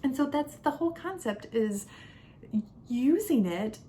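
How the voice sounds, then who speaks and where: A woman's voice: sits high, lively intonation, measured pace, mellow, slight background noise. A young adult woman talking casually to camera in a product review, chatty and conversational, leaning on key words. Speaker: homeschooling mother and vlogger.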